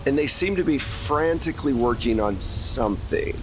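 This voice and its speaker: A man's voice: mid-range voice, animated, normal speed, noisy background. A man running a tabletop roleplaying game, improvising descriptive narration in a conversational way. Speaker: game master.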